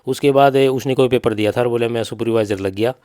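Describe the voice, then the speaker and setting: A man's voice: medium pitch, fast talker, warm tone. Middle-aged man with a Haryanvi accent. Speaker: bereaved father giving an interview. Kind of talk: spoken testimony in an interview.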